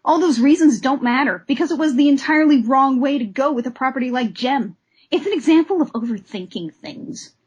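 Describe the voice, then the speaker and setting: A woman co-hosting a comic discussion podcast, expressive and theatrical when she reads aloud. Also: sits high, brisk, highs cut off. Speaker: podcast co-host. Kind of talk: comic discussion podcast with dramatic reading of letters.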